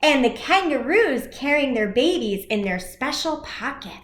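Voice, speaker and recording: High-voiced woman reading slowly to children. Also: big pitch swings, reverberant. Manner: deliberate, simple phrasing with questions to young listeners. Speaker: school educator reading a storybook to children. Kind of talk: children's storybook read-aloud.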